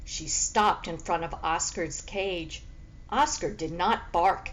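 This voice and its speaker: A woman reading a children's chapter book aloud as a teacher would, in expressive storytelling narration. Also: on the low side, slow pace, slight background noise, poor audio.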